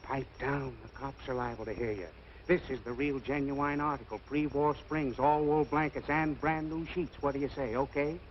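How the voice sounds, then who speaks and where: A man's voice: mid-range voice, fairly even intonation, normal speed, natural voice. A man acting a slick hustler with glib sales patter in a 1940s radio comedy. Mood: sly. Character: slick, fast-patter hustler renting out a bed. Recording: muffled old radio recording, faint noise.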